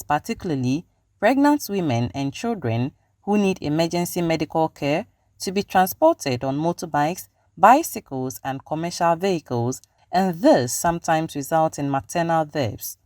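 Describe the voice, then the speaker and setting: A woman's voice: low voice, a little mellow, no echo, studio quality. A woman with a Ghanaian accent reading scripted news narration as a reporter. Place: close dry voice-over recording.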